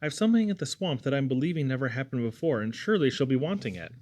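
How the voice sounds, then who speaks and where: A man's voice: mid-range voice, big pitch swings, fast talker, a little mellow, natural voice. A man voicing an earnest young Irish lad who tells of things in a folksy, wonder-struck, wordy way. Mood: eager.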